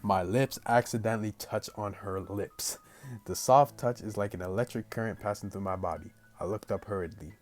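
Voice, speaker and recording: A man hosting a gaming video, reading a story aloud casually with slangy, animated asides. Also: lowish voice, moderate pace. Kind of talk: casual let's play, reading story aloud with excited reactions.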